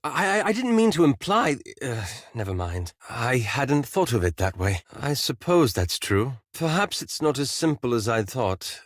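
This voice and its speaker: A man playing an earnest, cautious, scholarly adventurer in a fantasy role-playing game, speaking formally and articulately in measured, courteous phrases. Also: medium pitch, very animated, dry recording, clean studio sound. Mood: hesitant, resigned. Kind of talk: scripted video game character dialogue.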